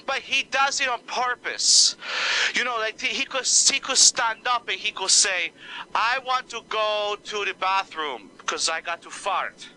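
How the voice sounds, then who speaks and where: A man voicing an exasperated female Spanish teacher who swears, complains and uses broken grammar, with a low voice for a woman. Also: measured pace, sharp tone, slight background noise, exaggerated voice, rough recording. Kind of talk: one-man comedy skit.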